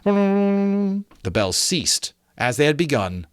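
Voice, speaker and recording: A man reading a classic story aloud as dramatic narration, theatrical and deliberate, building suspense. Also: on the high side, big pitch swings, smooth, dry recording. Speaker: amateur audiobook narrator.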